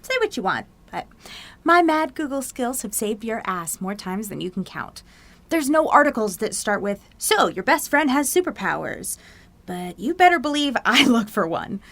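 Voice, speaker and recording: A woman's voice: a little high, very animated, moderate pace, fairly bright tone. A woman playing a cheeky, boastful, nerdy teenage best friend in an audio drama, with flippant, teasing, slangy talk. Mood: boastful, animated.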